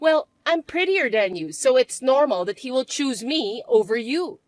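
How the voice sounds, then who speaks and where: A woman voicing a spoiled young stepsister who taunts and bosses in a shrill, mocking way. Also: big pitch swings, normal speed, no echo. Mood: taunting.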